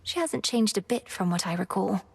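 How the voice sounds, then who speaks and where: An earnest young witch princess, polite and formal in her phrasing. She speaks at an even middle pitch with a composed, careful delivery. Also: normal speed, creaky, slightly rough. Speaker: game character.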